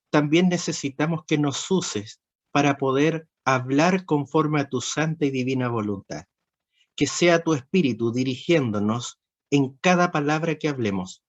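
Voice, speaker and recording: Earnest pastor, solemn prayer voice. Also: male voice, mid-range voice. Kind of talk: prayer and greeting. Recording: dull lo-fi stream audio.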